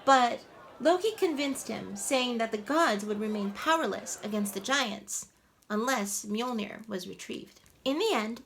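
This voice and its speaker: A woman playing a sweet, playful mermaid who tells stories to children in an animated, coaxing way. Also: a little high. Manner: animated, coaxing, asks listeners questions. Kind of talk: children's storytelling, addressed to the audience. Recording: faint background ambient noise.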